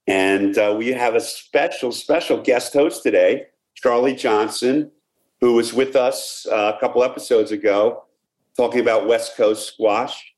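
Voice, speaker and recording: Halting male podcast host. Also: fairly low pitch. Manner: halting, many "uh" fillers and restarts. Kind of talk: casual podcast interviewing.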